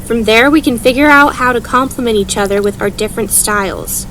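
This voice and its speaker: A woman's voice: on the high side, brisk, fairly bright tone, slight background noise, close to an everyday voice, poor audio. A woman playing a determined, earnest young female mage leader in an audio drama, calling out firm instructions to a group. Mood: firm, instructing.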